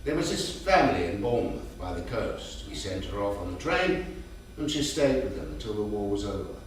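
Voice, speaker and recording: A man's voice: lowish voice, very animated, moderate pace. Man playing a tender grandfather, very echoey room. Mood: tender, reminiscing. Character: gentle doting grandfather telling bedtime stories. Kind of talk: staged play reading, storytelling. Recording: echoey theatre from far off, faint noise.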